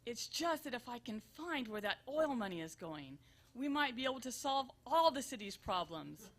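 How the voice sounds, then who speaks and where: A woman's voice: on the high side, big pitch swings, moderate pace, fairly bright tone. A woman playing an earnest, busy and exasperated grown daughter in a live comedic radio show, with emphatic theatrical delivery. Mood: insistent. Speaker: stage performer in a benefit show.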